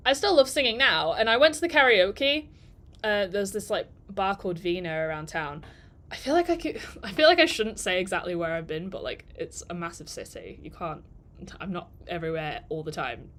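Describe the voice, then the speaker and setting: A woman in her twenties, chatting and telling stories on a live work stream, rambling and laughing between thoughts. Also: medium pitch. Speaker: digital marketer vlogger. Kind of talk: casual storytelling during a live work stream.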